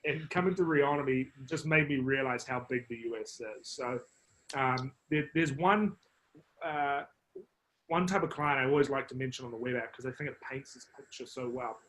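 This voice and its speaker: A man in his thirties with a New Zealand accent, a marketing executive answering interview questions in a measured, explanatory way, with frequent fillers and restarts. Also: mid-range voice, a bit bright, poor audio. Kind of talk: business podcast interview.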